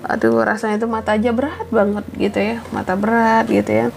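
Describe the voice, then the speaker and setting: A woman with a cold doing a casual, chatty tarot reading, keeping her voice soft and adding lots of fillers and tag questions. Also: a little high, level tone, quick pace, vocal fry, slight background noise. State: has a cold, voice kept soft. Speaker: tarot reader.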